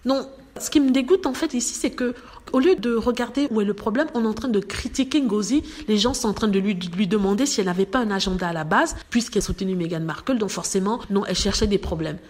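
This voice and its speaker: A woman's voice: mid-range voice, quick pace, a little mellow. A woman vlogger talking straight to her viewers in casual commentary, indignant and emphatic.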